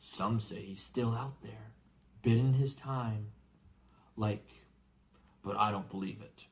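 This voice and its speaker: A gruff but big-hearted giant, acted out by a male narrator, growling and fiercely loyal. He talks in rough rustic dialect, as in a storybook reading. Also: fairly low pitch, slow, slight background noise. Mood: grave, confiding. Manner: rustic, colloquial, dropped words and dialect grammar.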